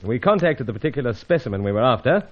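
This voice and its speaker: A man acting a steady, gentlemanly British secret agent with clipped, composed speech in an old-time radio adventure serial. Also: medium pitch, quick pace, highs cut off, natural voice. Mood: respectful, businesslike.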